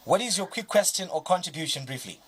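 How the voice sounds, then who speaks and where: A man's voice: high voice, lively intonation, fast talker, fairly bright tone, a little hiss or hum. A man hosting a studio discussion and taking call-ins, asking punchy questions. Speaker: TV talk show host.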